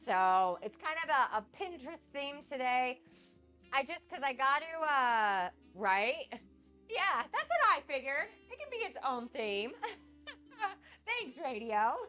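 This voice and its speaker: Bright-voiced woman with a cold. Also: high-pitched, sing-song, measured pace, highs cut off, soft background music. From United States. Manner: chatty, rambling, reads out and answers chat comments. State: nasal and sniffly from a cold. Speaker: cooking livestream host. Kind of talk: casual cooking livestream chat with viewers.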